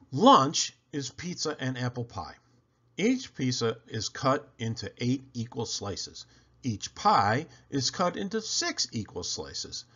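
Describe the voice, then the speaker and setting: Guy doing a kids read-aloud, super expressive up and down voice, slow pace, theatrical, bit muffled recording. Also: medium pitch, slightly rough. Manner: theatrical, exaggerated emphasis on questions and lists. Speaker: storyteller reading a picture book aloud. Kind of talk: animated children's read-aloud narration.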